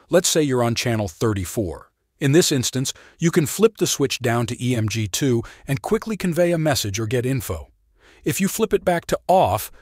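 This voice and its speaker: A man's voice: mid-range voice, no echo. Man narrating a tutorial, dry sound. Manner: clear, instructional, plainly explanatory. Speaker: product demonstrator and narrator.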